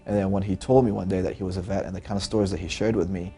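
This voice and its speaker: Guy, real low mellow voice, pretty monotone, lots of uhs, founder talking about his startup. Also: rapid-fire, faint music behind. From Lebanon. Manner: earnest, with frequent fillers. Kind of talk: interview answers.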